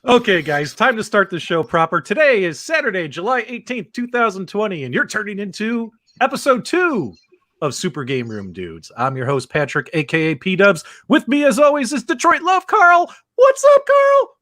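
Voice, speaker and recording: A man's voice: high voice, sing-song, moderate pace, a bit bright, no room sound. An enthusiastic man hosting a live video game talk show, repeating himself for emphasis. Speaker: show host.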